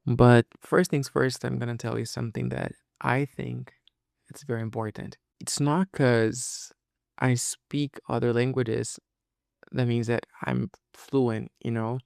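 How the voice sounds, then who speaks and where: A man's voice: medium pitch, steady tone, measured pace, gravelly, darkish tone, no echo, clean studio sound. A man whose first language is Portuguese, hosting a casual solo podcast and explaining things informally to listeners, often checking that they follow. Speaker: podcast host and English teacher.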